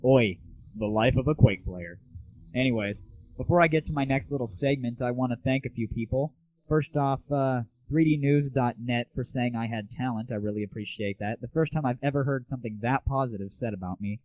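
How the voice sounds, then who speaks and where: A man's voice: mid-range voice, a little fast. Phone-like recording of an irreverent man chatting. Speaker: host of an internet audio show. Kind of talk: casual solo rant and chat. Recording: muffled phone-like lo-fi 1990s internet audio.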